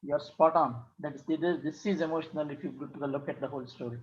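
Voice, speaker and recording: A man with a distinctly high voice chats casually in an online group discussion. The sound is a bit muffled, like a video call. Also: steady tone, fast. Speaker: workshop participant.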